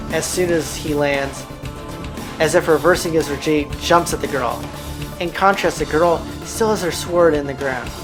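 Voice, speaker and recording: A man hosting a let's play, reading visual novel narration aloud. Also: on the high side. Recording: music playing behind the voice. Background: game soundtrack.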